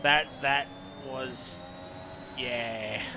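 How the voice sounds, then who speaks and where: A man commentating a live online racing league, reacting with quick exclamations and chatty asides. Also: mid-range voice, fairly even intonation. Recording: race game engine noise behind voice.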